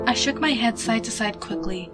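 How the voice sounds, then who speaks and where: A woman giving a hushed, expressive, dramatic reading of a suspense story, with music behind her. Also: fairly high pitch, moderate pace. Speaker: fan fiction reader.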